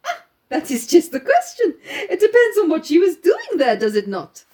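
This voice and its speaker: A woman voicing a calm, knowing male detective with a French accent, speaking in a deliberate, formal storybook manner. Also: extremely high pitch, voice pushed up, exaggerated voice.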